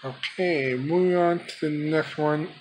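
Guy showing off his collection on a homemade video, slow choppy talking, bit of noise behind him. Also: a little high. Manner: halting, fragmented phrasing. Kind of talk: unscripted product showcase vlog. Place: small room by a window. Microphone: handheld camera, speaker off screen. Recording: background noise, homemade handheld camera audio.

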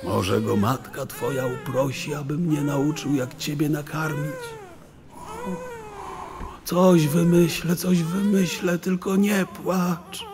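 High-voiced theatrical old sage. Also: male voice, slow. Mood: tearful, tender. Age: older. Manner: theatrical, emotional, grandiloquent. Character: kindly, despairing silver-haired old sage, theatrical and tender. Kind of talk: audio drama acting. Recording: long reverb, faint background noise.

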